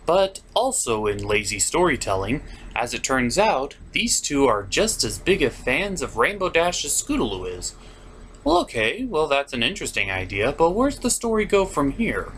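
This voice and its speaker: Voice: medium pitch, fairly bright tone, slight background noise. A comedic review host who recaps and rants in a sarcastic, exasperated way with theatrical emphasis. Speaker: host of a cartoon review show.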